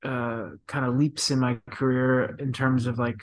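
A man in his thirties, a professional midfielder, talking softly and thoughtfully in a casual interview, with many fillers and restarts. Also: mid-range voice, flat delivery, a little slow.

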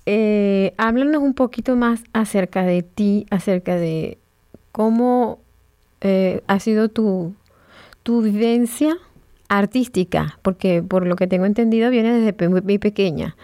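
A woman hosting a radio interview, with a mellow, rounded tone. She speaks at a relaxed, unhurried pace and leans on repeated words for emphasis. The recording is close and dry, with no room sound. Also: medium pitch, no room sound. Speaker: radio show host.

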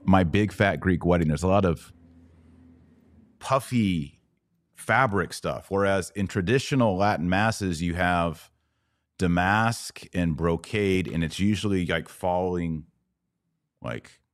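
A man's voice: sits low, takes it slowly, no room sound. A man hosting a podcast, explaining things to his online audience in a didactic but informal way, with casual asides. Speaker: podcast host and commentator.

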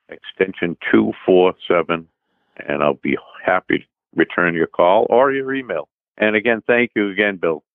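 A man's voice: fairly low pitch, no room sound. A man with a Boston accent, a guest in an interview, speaking in a thoughtful, somewhat hesitant way. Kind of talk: casual interview. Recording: tinny phone line.

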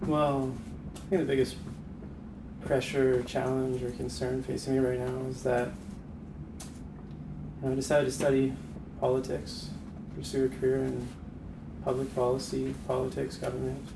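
Flat, gravelly man in echoey room. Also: mid-range voice, unhurried, pauses often. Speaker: meeting participant. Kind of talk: halting personal remarks in a group discussion. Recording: distant mic, echoey noisy room.

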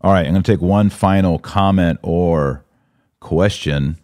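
A man's voice: low voice, a little slow, darkish tone, no echo, clean studio sound. An American man hosting a live podcast, chatting casually and informally as he responds to listener comments. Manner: informal slangy talk. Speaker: podcast host.

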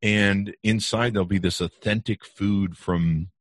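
A man's voice: fairly low pitch, steady tone, a little slow, no room sound, studio quality. A man hosting a podcast, talking casually and rambling with many fillers and restarts. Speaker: podcast host. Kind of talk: casual interview chat.

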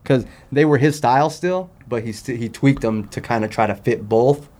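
A man talking casually as a podcast guest, in informal slang, often halting and repeating his words as he explains things. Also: mid-range voice, darkish tone. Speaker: podcast guest, sneaker customizer. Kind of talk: casual interview chat.

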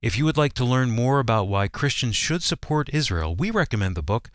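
A man reading a scripted promotional announcement for radio in a measured, clearly enunciated way. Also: medium pitch, expressive, no room sound, clean studio sound.

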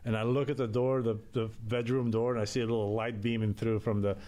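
A man's voice: mid-range voice, level tone, fast, warm tone. A man from Belgium, a podcast guest, telling a rambling story and laughing at his own mishaps. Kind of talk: casual storytelling.

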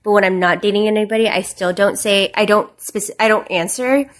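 A young woman talks loosely on a podcast, peppering every sentence with "like." She is blunt and happy to swear, and her pitch sits at an ordinary middle level for a woman. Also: a little fast. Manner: frank and profane, heavy use of "like". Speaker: podcast guest, influencer. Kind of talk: casual chat.

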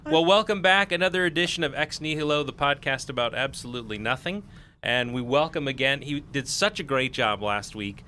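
A man's voice: mid-range voice, brisk, bright, forceful tone, a little hiss or hum. A man co-hosting a podcast, joking and bantering in casual chat. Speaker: podcast co-host.

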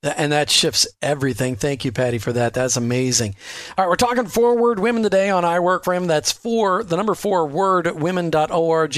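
A man hosting a faith-based radio talk show, upbeat and promotional, spelling out details slowly. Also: a little high, no echo, clean studio sound. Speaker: radio host.

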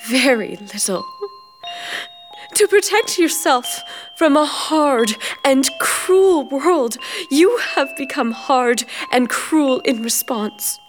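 Tender, sorrowful young sweetheart, earnest, measured delivery in long reverb, radio drama with faint music. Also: female voice, high-pitched, lively intonation. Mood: hurt. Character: tender, heartbroken young fiancée parting from her love. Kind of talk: theatrical radio drama acting.

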